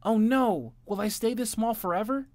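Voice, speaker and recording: A man voicing a greedy, impulsive little boy bunny in a children's storybook, with high, childlike squeals. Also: moderate pace, a little mellow, no echo. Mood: panicked. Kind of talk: storybook reading.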